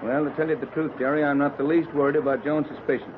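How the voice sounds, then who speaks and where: An American man playing a calm, wry, fatherly boat captain in an old-time radio adventure, with an easygoing, reassuring manner. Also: fairly high pitch, fast, close to an everyday voice. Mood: wry, thoughtful. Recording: tinny, noisy old radio recording.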